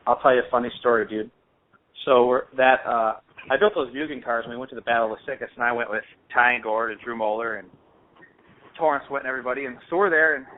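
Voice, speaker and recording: A man telling stories casually on a phone call in an informal, slangy, rambling way, as host of a hobby show. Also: mid-range voice. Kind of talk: casual phone chat, anecdotal storytelling. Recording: dull lo-fi phone-call audio.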